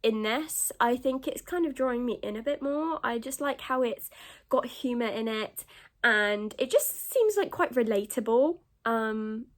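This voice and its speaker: Groggy British woman, higher-pitched voice. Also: expressive, unhurried. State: groggy with a cold, sniffling. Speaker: book vlogger. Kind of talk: casual rambling vlog chat.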